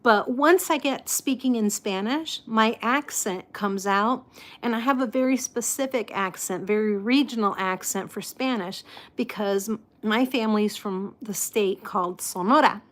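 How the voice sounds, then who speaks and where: Slow-talking American woman with slightly impeded speech. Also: medium pitch. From United States. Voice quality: slightly impeded articulation from a false tooth and past stroke. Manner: halting, unhurried, greeting viewers by name. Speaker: live stream host. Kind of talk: casual live chat with viewers.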